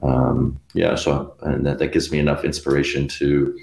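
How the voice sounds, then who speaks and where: A man's voice: rumbling low, level tone, moderate pace, gravelly, darkish tone. A young man from Canada, a guest musician chatting casually in an interview, rambling with lots of fillers and laughing between phrases. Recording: roomy echoey room.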